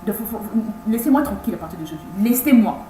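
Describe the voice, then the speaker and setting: Woman in her thirties, halting and insistent. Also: on the high side, lively intonation, dark tone. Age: 30s. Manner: halting, many repeated words and restarts; insistent, pleading. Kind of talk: personal video message. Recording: echoey room with faint hum.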